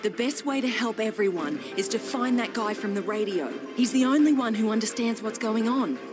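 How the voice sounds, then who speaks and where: A woman's voice: a little high, lively intonation, moderate pace, over music, natural voice. An actress playing a tough, pragmatic woman survivor who takes charge bluntly in a video game cutscene. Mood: firm, persuasive. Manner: blunt, commanding, calls people doll and love.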